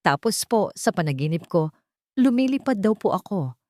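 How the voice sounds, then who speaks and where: A woman with a Filipino accent voicing a timid, innocent, excited young child in a narrated story. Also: mid-range voice, moderate pace, no room sound, clean studio sound, close to an everyday voice. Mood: excited wonder. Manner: polite, innocent.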